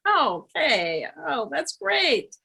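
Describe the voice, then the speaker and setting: Woman, children's host, slow, animated pitch, bright voice. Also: vocal fry. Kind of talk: interviewing and addressing young children.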